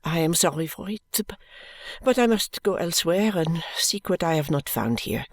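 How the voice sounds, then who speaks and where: A woman's voice: animated, unhurried, no echo, heavily acted. A woman doing an intense French maid. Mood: cool, polite. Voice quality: low, earnest, subdued.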